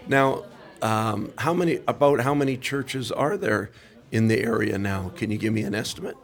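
Deep-voiced podcast host saying amen. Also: male voice, normal speed, creaky. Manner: warm, affirming, frequent amens. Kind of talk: interview on a mission trip.

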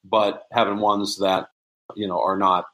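A man's voice: lowish voice, level tone, unhurried, a bit bright. A man speaking casually as an interview guest, explaining things with frequent restarts and doubled phrases. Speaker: interview guest, prop and audio drama maker.

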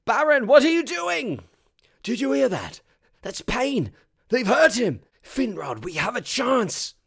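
A man's voice: very high voice, very animated, takes it slowly, creaky, fairly bright tone, slightly rough, pitched above the performer's own voice, full character voice. A man voicing a desperate, impulsive young fantasy warrior who exclaims urgently. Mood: desperate, excited.

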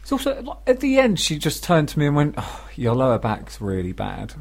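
A British man co-hosting a radio show, chatting casually and telling self-deprecating comic stories in an animated way. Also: on the high side, a little fast, gravelly. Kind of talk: casual comic chat, anecdote.

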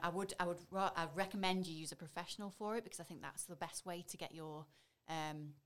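A woman in her thirties with a deep, husky voice, chatting as a voiceover artist on a podcast, bubbly and animated. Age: 30s. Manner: bubbly and animated, laughs often. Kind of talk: casual podcast interview.

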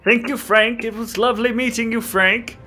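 A man's voice: extremely high pitch, steady tone, moderate pace, sharp tone, soft background music. A man playing a courteous, hesitant adventurer in a tabletop roleplay. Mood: polite. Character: polite, timid male fantasy adventurer.

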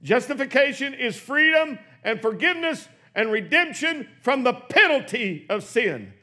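A man in his sixties preaching a sermon in a church, swinging from hushed, quick asides to shouted exclamations. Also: very high-pitched, sing-song. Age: 60s. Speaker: pastor. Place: church sanctuary.

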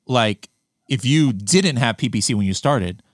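Halting male host asking questions. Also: medium pitch, expressive, no room sound, studio quality. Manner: halting, filler-heavy questions. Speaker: podcast host. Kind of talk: casual interview questions.

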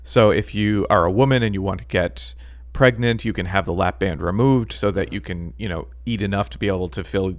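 A man co-hosting a podcast in casual chat, talking haltingly with many ums and restarts. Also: sits low, no room sound. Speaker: podcast co-host.

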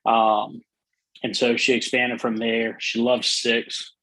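A middle-aged man with a soothing, slightly husky voice, chatting enthusiastically as a guest, with many fillers and restarts. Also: mid-range voice, little pitch movement, slow, bright, forceful tone, low-quality recording. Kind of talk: casual interview chat.

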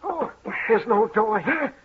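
An actor playing a deferential, nervous and grumbling manservant in 1930s radio style. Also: male voice, extremely high pitch, animated, slow pace, full character voice. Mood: alarmed. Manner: obedient, anxious. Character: deferential, nervous, grumbling male servant named John. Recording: tinny, noisy 1930s radio recording.

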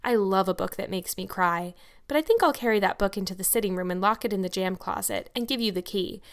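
A woman's voice: mid-range voice, fast, lightly acted. A woman voicing a talkative, imaginative young girl with an earnest, grandly worded way of speaking in an audiobook. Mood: chatty, earnest. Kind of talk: audiobook character dialogue.

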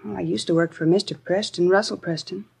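An actress playing a polite, puzzled former secretary in a 1950s radio drama, with a husky, creaky voice. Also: female voice, on the low side, steady tone, brisk, a little mellow.

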